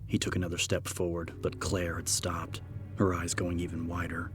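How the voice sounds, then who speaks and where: A man narrating dramatic horror fiction in a grave, measured manner. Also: low-pitched, monotone. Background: prominent background music.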